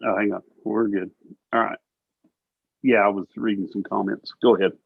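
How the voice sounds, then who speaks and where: A man's voice: on the low side, flat delivery, moderate pace, creaky, no room sound. Easygoing man on a tinny line. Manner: brief, easygoing replies and laughs. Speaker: co-host. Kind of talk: casual livestream chat. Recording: tinny dry phone-like line.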